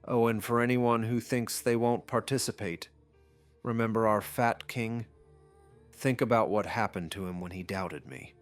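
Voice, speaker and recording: A man's voice: fairly low pitch, steady tone, normal speed, soft background music, voice pushed up, close to an everyday voice. A man voicing a gleeful, sadistic godlike game master in an anime audiobook, taunting and mockingly amused. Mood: menacing amusement.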